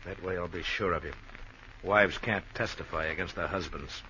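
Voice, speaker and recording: An actor playing a sardonic, suspicious small-time gangster in a 1950s radio crime drama, with tough, slangy, threatening talk. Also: male voice, sits low, normal speed, sharp tone. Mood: menacing. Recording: old lo-fi radio recording, faint hiss.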